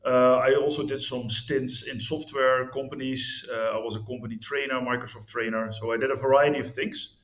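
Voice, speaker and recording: A man's voice: mid-range voice, fairly even intonation, normal speed, few pauses, a bit bright. Hesitant Dutch-raised man in his fifties. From Netherlands. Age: 50s. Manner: hesitant, many restarts and fillers. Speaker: interview guest. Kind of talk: candid interview talk about his life. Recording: echoey room.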